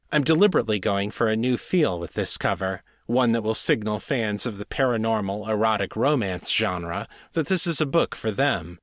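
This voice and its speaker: A man's voice: medium pitch, fairly bright tone, no room sound. A man hosting a solo podcast, reading a scripted update in an earnest, articulate way, close on the microphone. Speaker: podcast host and fiction author.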